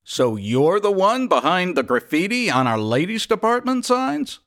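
An actor playing a brisk, pompous department store boss in a radio comedy, speaking formally and emphatically. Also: male voice, high voice, big pitch swings, a bit bright, full character voice. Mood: stern, puzzled. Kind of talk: comedic radio play acting.

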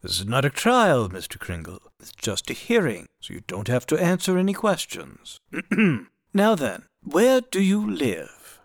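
A man's voice: fairly high pitch, wildly swooping pitch, measured pace, gravelly, heavily acted. A man playing a brusque, formal, declamatory courtroom prosecutor in a radio play. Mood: official, smug.

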